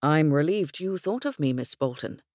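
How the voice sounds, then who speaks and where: Woman reading as a formal young English gentleman, low and dry. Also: lively intonation, normal speed, no echo. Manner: formal, courteous. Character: polite young English gentleman ranch hand.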